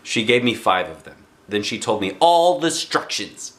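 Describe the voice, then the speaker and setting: A man in his forties reading a children's book aloud expressively, with dramatic pauses and emphasis. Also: fairly low pitch, big pitch swings, a bit bright. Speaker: storybook reader. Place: small living room indoors.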